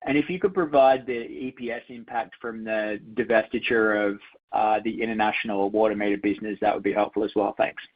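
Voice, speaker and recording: A man's voice: lowish voice, fairly even intonation, brisk. Male analyst on dull call audio. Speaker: financial analyst caller. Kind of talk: earnings call questions. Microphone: conference call line.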